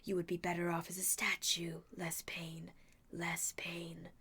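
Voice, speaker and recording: A woman voicing Medusa, a menacing old monster woman with a breathy, crooning, coaxing voice in a storybook reading. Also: lowish voice, monotone, unhurried, sharp tone, exaggerated voice. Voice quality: breathy, whispery. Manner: soothing, crooning, purring, snarls when crossed. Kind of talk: audiobook character voice.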